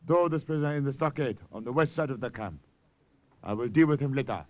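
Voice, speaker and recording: A man's voice: medium pitch, animated, fast talker, a little mellow, dry recording, natural voice. A man playing a menacing, suspicious Japanese colonel in a 1950s radio drama, formal and imperious. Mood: cold command. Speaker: actor. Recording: dry vintage radio recording.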